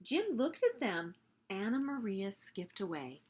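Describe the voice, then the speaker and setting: A woman hosting a story time, reading a picture book aloud in an expressive, animated way meant for young children. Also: mid-range voice, a little slow, background noise.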